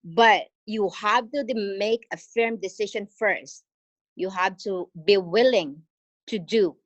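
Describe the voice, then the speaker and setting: A woman from the Philippines with a Filipino accent, an exchange teacher giving an earnest, encouraging talk in an online meeting, pausing often. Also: medium pitch, no echo, highs cut off. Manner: earnest and encouraging, with frequent pauses and fillers. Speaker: exchange teacher sharing her experience. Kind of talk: motivational presentation in an online meeting. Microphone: video call.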